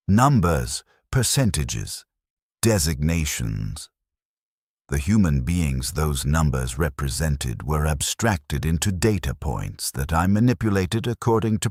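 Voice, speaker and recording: A man delivers scripted first-person narration in a profoundly low voice. His phrasing is measured and solemn, yet the pitch moves expressively. The sound is close and dry, suited to a cinematic story. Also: breathy edge, dry recording.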